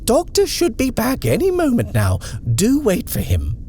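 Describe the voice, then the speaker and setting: A man voicing a bustling, fussy middle-aged female housekeeper who talks in a hurried, insistent flutter. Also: very high-pitched, huge pitch swings, dark tone, a little hiss or hum, voice pushed up, heavily acted.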